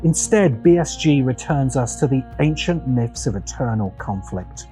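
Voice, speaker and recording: Male video essay narrator, dark warm timbre, mid pitch, scripted, measured dramatic phrasing. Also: lively intonation, faint music behind.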